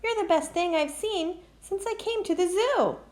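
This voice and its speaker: A woman's voice: very high-pitched, normal speed, darkish tone, voice pushed up. A woman voicing a shy, friendly female emu in a children's storybook, with a sweet manner. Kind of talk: children's picture book read-aloud.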